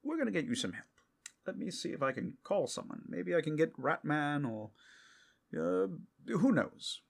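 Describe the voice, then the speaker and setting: A man's voice: fairly high pitch, big pitch swings, normal speed, gravelly, full character voice. A man playing an earnest, well-meaning superhero in an audio roleplay, hesitant and stammering as he tries to sound reasonable. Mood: practical.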